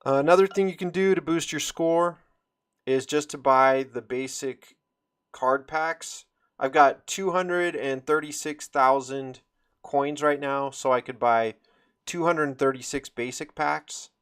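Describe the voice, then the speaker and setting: A man's voice: fairly high pitch, measured pace, a bit bright, clean tone. A man giving an informal, rambling walkthrough of a game, explaining details as he goes. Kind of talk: informal screen-share game tutorial.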